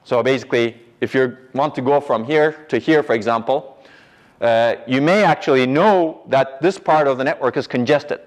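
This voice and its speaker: A man's voice: mid-range voice, normal speed. A middle-aged man giving a university lecture in a large hall, explaining step by step and often checking in with "right?". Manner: explanatory, pointing at a slide, frequent "right?" and restarts.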